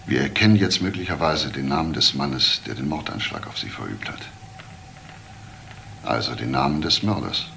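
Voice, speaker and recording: An actor playing a formal police investigator in a crime radio play, polite and deliberate. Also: male voice, extremely low pitch, pushed and bright, exaggerated voice. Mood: courteous, businesslike. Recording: clear background noise, old broadcast audio.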